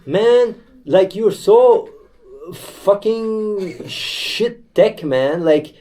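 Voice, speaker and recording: Brief: blunt, swearing male stream host, mellow dark tone. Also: high voice, lively intonation, extremely slow. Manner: blunt, profane, irritable. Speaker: livestream host. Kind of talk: casual live-stream chat.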